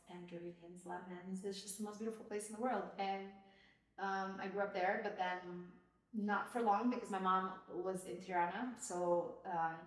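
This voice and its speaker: A woman from Albania hosting a podcast, telling her childhood story in a reflective, casual way with many fillers. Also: fairly low pitch. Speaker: podcast host, mother of co-host. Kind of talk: casual personal conversation. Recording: roomy, distant from the mic.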